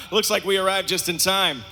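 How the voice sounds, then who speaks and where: An actor playing a confident, loyal young starfighter pilot in a live audio drama, breezy and assured. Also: male voice, high-pitched, noisy background.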